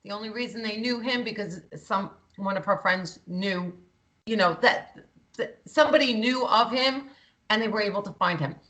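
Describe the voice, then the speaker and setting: A woman's voice: medium pitch, moderate pace, fairly bright tone, rough recording. A woman co-hosting a talk show, speaking bluntly and passionately, swearing freely. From Greek heritage. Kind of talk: heated political talk.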